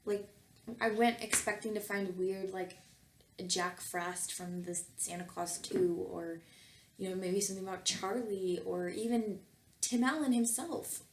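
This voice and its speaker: A woman's voice: medium pitch, fairly even intonation, measured pace, slight background noise. A woman reading fan fiction aloud and commenting on it casually, with a tongue-in-cheek, mock-earnest manner. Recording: roomy echo, music behind.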